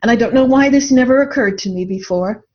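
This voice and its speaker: A woman's voice: a little high, fast talker, a little mellow. A woman speaking as an interview guest in an earnest, reflective way, telling personal stories. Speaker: podcast guest, writer and coach. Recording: dull lo-fi audio.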